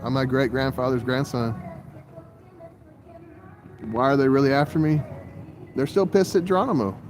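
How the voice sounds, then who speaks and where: A man's voice: mid-range voice, normal speed, a little mellow. A man in his forties talking in a rambling monologue that jumps from topic to topic, with frequent swearing. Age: 40s. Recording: outdoor traffic noise.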